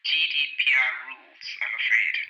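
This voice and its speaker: A woman playing a brisk, businesslike, helpful official in an audio drama, heard through a telephone filter. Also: rumbling low, steady tone, bright, forceful tone, full character voice. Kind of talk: naturalistic audio drama acting. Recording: noisy, band-limited telephone line.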